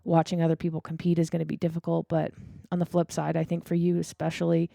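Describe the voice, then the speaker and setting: A woman in her thirties with a low, gravelly, mellow voice chats casually as a podcast co-host. She is matter-of-fact and keeps a flat, even melody. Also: fast, no room sound, studio quality. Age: 30s. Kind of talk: casual conversation.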